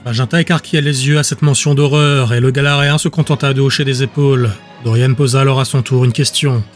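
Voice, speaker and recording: A male narrator reads scripted fiction for an audiobook. His voice sits at an average pitch, and his delivery is measured and descriptive. Faint music runs behind him. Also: steady tone. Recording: music under the voice.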